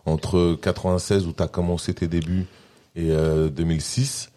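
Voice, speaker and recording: A man hosting a podcast, reading his intro and then asking relaxed, brief questions. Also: sits low, moderate pace, no echo, studio quality. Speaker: podcast host. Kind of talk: scripted podcast intro, then casual interview.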